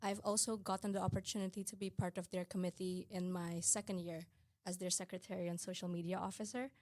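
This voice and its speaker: A woman's voice: on the low side, level tone, quick pace, a little mellow, no echo. A young woman from Indonesia, a student guest, chatting casually and filling her talk with "like". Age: young adult. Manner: chatty, with frequent "like" fillers. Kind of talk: casual chat.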